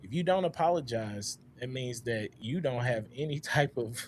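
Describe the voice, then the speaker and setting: A man in his thirties hosting a casual panel talk, speaking in a reflective, unhurried way with many "uh"s and a loud voice. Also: medium pitch, slight background noise. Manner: relaxed, reflective, frequent "uh" and "all right?". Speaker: podcast host.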